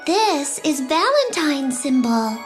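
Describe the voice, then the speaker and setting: A little girl in a storybook fantasy game, her voice very high and childlike. She sounds curious and wistful, musing to herself and her pet with music behind her. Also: sing-song, takes it slowly, exaggerated voice. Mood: curious, playful.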